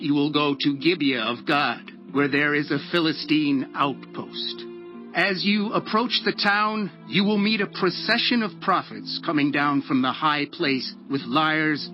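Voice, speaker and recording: An actor playing a solemn, commanding prophet in a dramatized audio Bible, with measured, declamatory delivery. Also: male voice, a little high. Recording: dull lo-fi audio with music. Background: background music.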